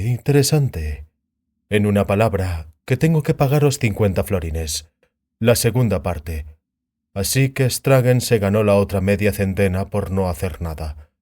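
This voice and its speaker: A man voicing a tall, smug, sinister half-elf in a fantasy audiobook, sonorous and sardonic in an unhurried way. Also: lowish voice, mellow. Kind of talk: audiobook character voice.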